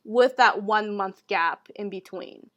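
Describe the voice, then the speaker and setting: Young woman, podcast co-host, casual storytelling, relaxed, filler-heavy. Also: medium pitch, slow, highs cut off. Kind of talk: casual chat.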